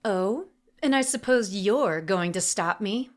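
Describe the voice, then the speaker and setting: A woman voicing a menacing, commanding female assassin with a sharp, sneering delivery, in an audiobook. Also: mid-range voice, animated, slow pace. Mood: scornful. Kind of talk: audiobook character voice.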